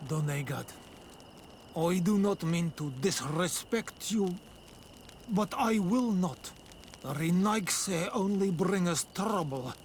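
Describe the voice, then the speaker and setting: Video game role: fearful, resentful stone engraver, unhurried and solemn, fairly high voice. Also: male voice, darkish tone, a little hiss or hum. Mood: firm, resentful. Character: bitter, fearful native stone engraver in hiding. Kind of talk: video game cutscene acting.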